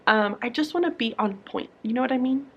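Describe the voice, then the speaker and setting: A woman's voice: on the high side, animated, a little fast, husky, highs cut off. Sleepy young woman teacher rambling to camera. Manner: rambling, lots of fillers and restarts. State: sleepy morning voice. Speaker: teacher vlogger. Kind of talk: casual talk to camera. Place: her classroom at school.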